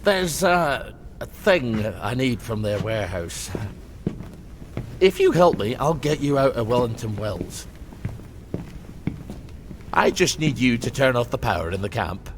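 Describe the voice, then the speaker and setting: An actor playing an unstable, gruff older soldierly man in a video game, erratic in his manner. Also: mid-range voice, big pitch swings, measured pace, long pauses, noisy background. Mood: scheming. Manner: gruff, erratic, swinging from muttering to shouting.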